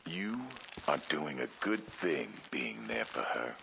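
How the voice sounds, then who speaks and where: A gravelly-edged, bright affectionate father, lowish and hugely animated. He takes his time, reassuring and teasing in a folksy audio drama manner. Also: slow, slight background noise, heavily acted. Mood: fond, reassuring. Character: affectionate, encouraging father of a grown daughter. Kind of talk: naturalistic audio drama dialogue.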